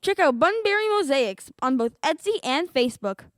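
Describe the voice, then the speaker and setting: High-voiced young girl reading radio sponsor spots. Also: sing-song, normal speed, no echo, studio quality. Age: young adolescent, middle school student.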